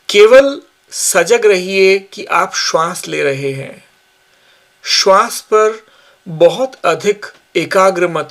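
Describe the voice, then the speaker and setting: A man giving guided yoga nidra meditation instructions in measured short phrases with pauses. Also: a little high, a bit bright.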